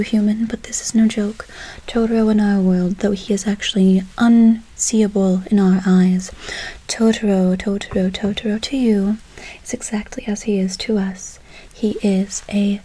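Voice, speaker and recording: A woman playing a gentle, doting witch in a whispered ASMR role play, slow and soothing with a breathy voice, repeating words softly. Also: mid-range voice, close to an everyday voice, low-quality recording. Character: gentle doting forest witch casting a spell. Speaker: ASMR performer.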